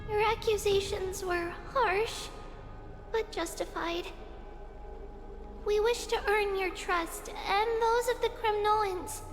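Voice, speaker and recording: A woman's voice: very high voice, fairly even intonation, takes it slowly. A woman voicing a tiny, earnest, kind girl in a video game, childlike and pleading. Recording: long hall reverb under loud music.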